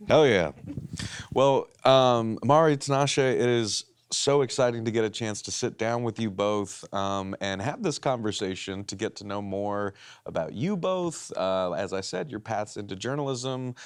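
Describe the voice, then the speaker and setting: A man's voice: fairly low pitch, animated, moderate pace, smooth. A man moderating a live panel discussion in front of an audience, enthusiastic and a bit rambling. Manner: enthusiastic, rambling, hypes the crowd. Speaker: panel moderator and editor-in-chief. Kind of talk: live panel event hosting. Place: studio event space with audience.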